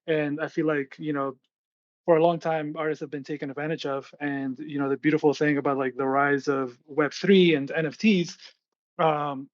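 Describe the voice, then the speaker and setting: Male interview guest, fairly high voice with very flat intonation, casual and explanatory, filler-heavy, from Venezuela. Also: normal speed, dry recording, dull audio. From born and raised in Venezuela, grew up in United States. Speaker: guest, artist collective founder.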